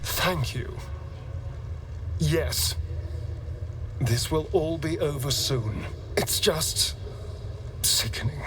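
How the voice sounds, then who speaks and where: A man's voice: fairly high pitch, fairly bright tone, a little hiss or hum. A man voicing a bitter, mocking vampire spawn seeking revenge on his master. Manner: sardonic, slow, with sneering outbursts. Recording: game audio from a distance, music underneath.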